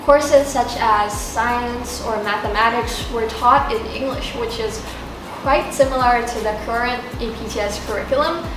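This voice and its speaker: Teen girl, thai first language, student panel chat, highish voice, sounds a bit far from the mic. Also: unhurried, background noise. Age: teenager, high school student. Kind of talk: semi-prepared discussion of school life. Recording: echoey room, distant mic, music behind.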